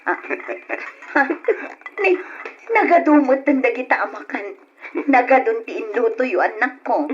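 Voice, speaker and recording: A woman's voice: a little high, lively intonation, measured pace, pauses often. A tender Ilocano mother, muffled radio. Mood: happy, moved. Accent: Filipino, Ilocano. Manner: earnest, sentimental. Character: loving, forgiving mother and wife, tender and devout. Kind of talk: old radio drama acting. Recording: dull, noisy old radio drama recording.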